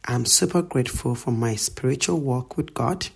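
A middle-aged woman reading a prepared gratitude message in a measured, earnest way. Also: very deep voice, gravelly, husky. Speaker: guest sharing a gratitude message.

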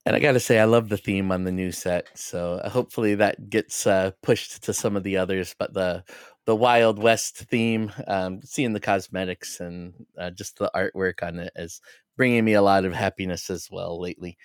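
A man with a low, smooth voice talks casually as a gaming podcast co-host. He is measured and thoughtful, and the sound is dry and close. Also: steady tone, dry recording.

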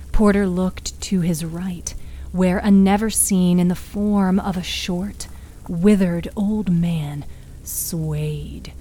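A woman narrating a dark story in a hushed, breathy, suspenseful, dramatic manner. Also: on the low side, a little hiss or hum, rough recording. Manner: slow, hushed, suspenseful delivery. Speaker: narrator and author of an audio fiction podcast.

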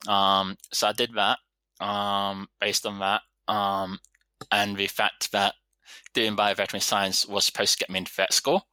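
Bright-voiced man in his thirties. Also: fairly low pitch, flat delivery, moderate pace, no room sound. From London, England. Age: 30s. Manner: easygoing, rambling storyteller. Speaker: vet surgeon guest. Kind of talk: casual interview chat.

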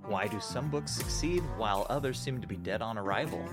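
A man's voice: lowish voice, lively intonation, fairly quick, gravelly. A man co-hosting a podcast, reading the show intro and welcoming a guest in an easygoing, casual way. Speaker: podcast co-host. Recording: music playing behind the intro. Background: guitar music.

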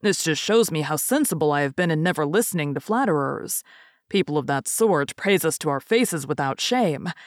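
A woman's voice: low voice, dry recording, clean studio sound. A woman voicing a proud young storybook prince, formal and courtly in his speech but hungry and impatient. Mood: smug.

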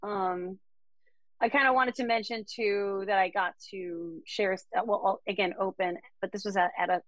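A woman from Denmark talks through her music career with chatty enthusiasm. Her voice sits at an everyday middle pitch. The recording is close and dry. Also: steady tone, moderate pace, fairly bright tone, no room sound, muffled recording. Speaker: country singer-songwriter guest. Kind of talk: casual interview conversation.

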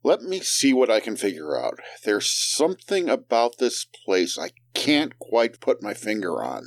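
Man as a precise, mid-pitched brainy hero. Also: sing-song, a little slow. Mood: analytical, calm. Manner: precise, dry. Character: pedantic, composed male scientist-type hero.

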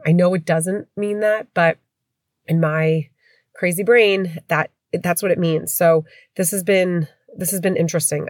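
A woman's voice: sits low, a little mellow, no echo, clean studio sound. A middle-aged woman with a stuffy head cold, chatting candidly as a podcast host from home. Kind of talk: casual podcast chat.

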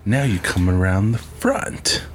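Deep-voiced laid-back young man. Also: huge pitch swings, unhurried, slight background noise. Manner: laid-back, swearing, laughing often. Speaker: podcast co-host. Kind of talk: casual chat.

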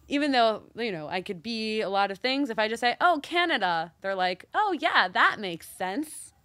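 A woman's voice: fairly high pitch, lively intonation, normal speed, bright, forceful tone, no echo, clean studio sound. A woman from Mexico co-hosting a casual talk show, speaking earnestly and reflectively with many fillers. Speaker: co-host. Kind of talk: casual chat.

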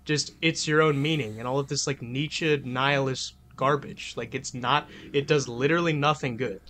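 A man's voice: medium pitch, steady tone, normal speed, a bit bright, slight background noise. Rambling, argumentative podcast guy. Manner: rambling, heavy filler words, swears often; argumentative, emphatic. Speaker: podcast co-host. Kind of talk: casual podcast debate.

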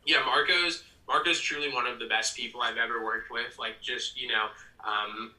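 A man's voice: mid-range voice, fairly even intonation, normal speed, sharp tone. A young American man from Ohio chatting casually and earnestly as a guest in an interview. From Ohio, United States. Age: young adult. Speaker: podcast guest, PA announcer and ticket sales executive. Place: room at home, audio call. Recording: roomy, slightly distant mic.

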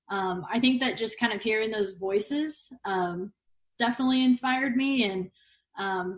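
An upbeat, chatty woman from Texas who laughs easily, speaking casually as an interview guest on a sports podcast. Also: medium pitch. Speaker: podcast guest, baseball broadcaster.

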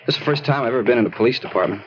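An American actor playing an anxious, evasive young man in a 1950s radio crime drama, husky, repetitive and insistent. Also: medium pitch, rapid-fire, noisy background, lightly acted. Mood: nervous, evasive. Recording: dull vintage 1950s radio recording.

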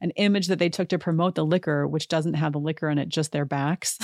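Low-voiced sarcastic woman podcaster. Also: steady tone, brisk, a little mellow, no echo, studio quality. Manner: sarcastic, chatty, laughs often. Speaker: podcast host. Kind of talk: casual chat.

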